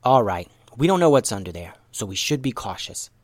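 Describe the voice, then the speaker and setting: A man's voice: fairly low pitch, very animated, brisk, no echo. A bold young ninja heroine from a children's storybook, acted by a man. She is earnest and commanding, with emphatic, swooping delivery in a dry sound.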